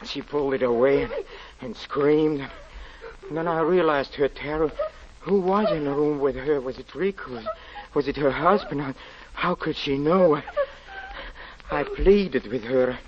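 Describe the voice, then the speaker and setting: An actor playing a haunted, desperate husband confessing a terrifying tale in halting, repetitive, melodramatic 1940s radio style. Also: male voice, fairly high pitch, lightly acted. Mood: pleading, desperate. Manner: halting, repeats words, intensely melodramatic. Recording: muffled, noisy vintage 1940s radio recording.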